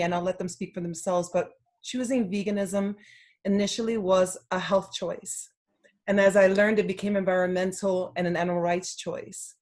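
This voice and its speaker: Reflective woman moderating a panel. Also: mid-range voice. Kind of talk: hosting an online panel discussion.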